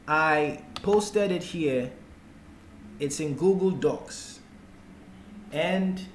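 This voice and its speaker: Ghanaian man, slow-talking tutor in echoey room. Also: on the high side. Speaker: forex trading tutor. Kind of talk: explanatory tutorial talk, unscripted. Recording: echoey room with faint background noise.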